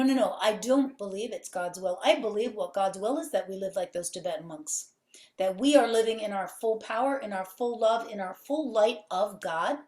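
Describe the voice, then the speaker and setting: A woman's voice: mid-range voice, darkish tone. A woman guest on a podcast who runs a healing center. She speaks fervently and with emphasis, piling up repeated phrases. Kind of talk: conversational interview. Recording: roomy echo.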